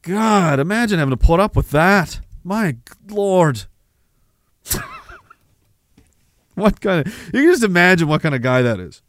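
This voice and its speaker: A man's voice: high voice, sing-song, measured pace, pauses often, fairly bright tone, no room sound. A man hosting a livestream, reading chat comments and riffing on them sarcastically, often breaking into laughter. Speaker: livestream host.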